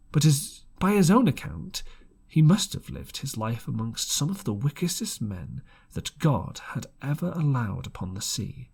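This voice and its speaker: A man narrating a classic adventure audiobook in an expressive, dramatic storytelling style, now and then stumbling over a word. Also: medium pitch, breathy edge.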